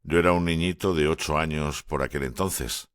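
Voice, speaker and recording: A calm, commanding middle-aged naval lieutenant, read by a male audiobook narrator speaks in a very deep, low voice. His delivery is measured and grave, and the sound is dry and close. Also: no echo. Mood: reminiscing. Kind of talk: audiobook character dialogue.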